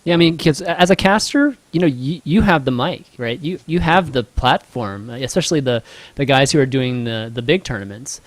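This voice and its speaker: A man hosting a casual podcast, relaxed and affirming. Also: medium pitch, normal speed, no echo. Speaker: podcast host.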